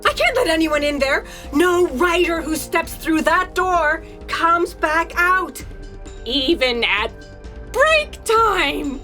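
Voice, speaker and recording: A woman's voice: extremely high pitch, animated, slow, pushed and bright, faint music behind, heavily acted. A woman playing a melodramatic writer guarding a door, making theatrical, ominous pronouncements in a comic sci-fi audio drama. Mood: ominous, defiant. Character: melodramatic doom-crying female writer guarding a quarantined door.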